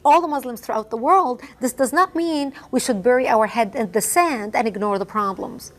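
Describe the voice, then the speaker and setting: A woman's voice: on the high side, moderate pace. A middle-aged woman from Lebanon whose first language is Arabic, speaking emphatically as an interview guest and repeating phrases for effect. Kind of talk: impassioned testimony.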